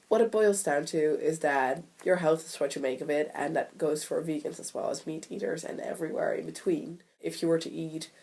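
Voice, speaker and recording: A woman's voice: low-pitched, darkish tone. A young woman vlogging to camera in a rambling, self-deprecating way, her voice a little nasal from a cold. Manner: rambling, self-deprecating, many ums and restarts. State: recovering from a cold, slightly nasal. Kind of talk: casual chat to camera.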